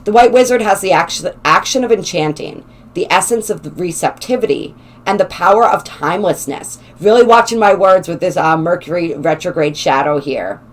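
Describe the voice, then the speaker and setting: A woman hosting a spiritual channel, her voice slightly raspy, talking in a gentle, flowing way with some halting restarts. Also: fairly low pitch. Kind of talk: casual spoken explainer, partly read aloud.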